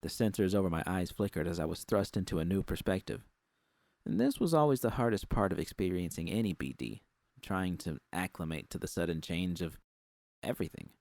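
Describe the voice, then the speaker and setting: Very deep, gravelly male story narrator. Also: animated, brisk, a little mellow, dry recording, studio quality. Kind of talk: first-person scripted fiction narration.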